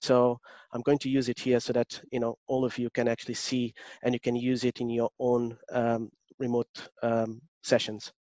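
A man from Portugal with a strong Portuguese accent presents an online session as an agile coach. His delivery is courteous and halting, with many fillers. The sound is dull and dry, as over a video call. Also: mid-range voice, completely flat delivery, a little mellow, no echo. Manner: hesitant, many fillers, polite. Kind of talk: remote workshop presentation. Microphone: computer mic on video call.

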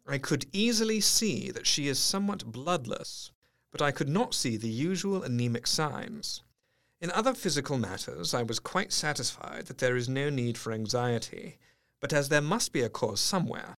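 Fairly high, earnest young doc reading his letters calmly. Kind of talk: radio drama, reading letters and diary entries.

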